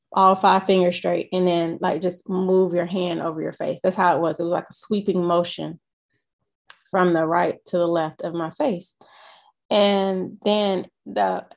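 A woman in her thirties slowly recounting a personal experience, halting often with fillers. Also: on the low side, vocal fry. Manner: slow, halting storytelling with many fillers. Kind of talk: personal testimony.

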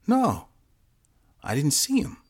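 Man acting a high-voiced, defiant teenage boy. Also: huge pitch swings, slow pace, gravelly, husky, full character voice. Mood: defensive. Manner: insistent, slangy, cagey. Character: defiant fifteen-year-old boy, streetwise crime witness.

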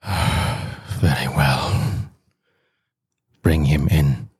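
A man's voice: deep voice, expressive, takes it slowly, husky, heavily acted. A man playing a proud, despairing Roman governor and husband in a theatrical, declamatory audio drama. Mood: weary, subdued.

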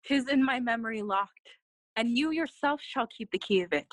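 A woman playing a dutiful, earnest young noblewoman in a classical Shakespeare audio play, speaking formal verse in measured phrases. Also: on the high side, fairly bright tone, slight background noise, natural voice. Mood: gently teasing.

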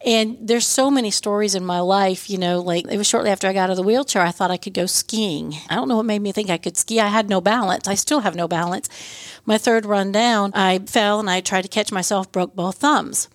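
A woman's voice: mid-range voice, fairly quick, no echo. Middle-aged woman telling personal stories, dry close sound. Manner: earnest, anecdotal, quotes dialogue in stories. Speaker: podcast guest, school board member and scout leader. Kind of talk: conversational personal storytelling.

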